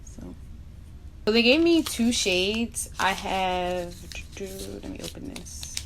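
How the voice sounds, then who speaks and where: A woman's voice: medium pitch, animated, takes it slowly, creaky. Young woman, from Barbados, casual makeup chat, blunt slangy asides, faint background hiss. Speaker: beauty vlogger.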